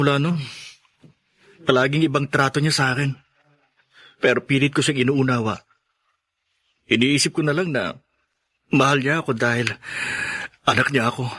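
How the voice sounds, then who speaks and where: A wounded, dutiful grown son in a radio drama. His voice is raspy and sharp-edged at a middle pitch. He confides plaintively, hurt by his family. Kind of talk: Filipino radio drama acting.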